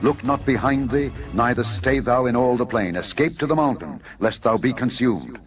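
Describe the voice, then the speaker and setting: Angel of warning, played by a man, solemn, commanding, raised pitch, audio drama with music bed. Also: moderate pace, lightly acted. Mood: urgent warning. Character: urgent male angel messenger warning of destruction. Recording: dull narrow audio over music.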